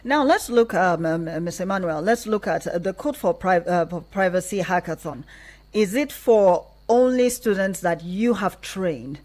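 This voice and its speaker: A woman's voice: lowish voice, lively intonation, moderate pace, a little mellow. A woman with a Nigerian accent hosting a radio interview in an encouraging, conversational way. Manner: encouraging, frequent verbal backchannels. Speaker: radio host.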